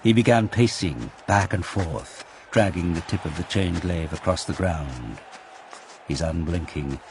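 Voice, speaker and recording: A man narrating an audio drama in a dramatic, scripted storytelling style. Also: sits low. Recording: clear background noise and ambience.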